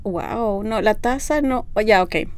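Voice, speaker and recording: A woman's voice: mid-range voice, moderate pace, a crowd in the background. A woman from Peru who speaks German with a Peruvian Spanish accent, a Spanish teacher talking in a casual interview and often searching for words.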